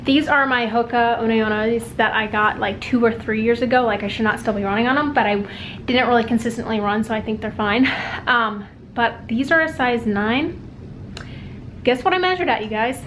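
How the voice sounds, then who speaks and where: A woman's voice: on the high side, normal speed, fairly bright tone, slight background noise, poor audio. A young woman from the United States chatting casually to camera in a vlog, upbeat and rambling with plenty of "likes.". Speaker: vlogger. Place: at home and out and about. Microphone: handheld compact camera.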